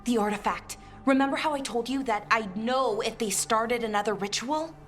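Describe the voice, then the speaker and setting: Woman as an anxious, higher-voiced young mage. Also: normal speed, a bit bright, lightly acted. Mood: worried, urgent. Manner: earnest, urgent, apologetic. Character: anxious, earnest young female magic researcher. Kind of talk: video game voice acting. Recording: faint music behind the voice.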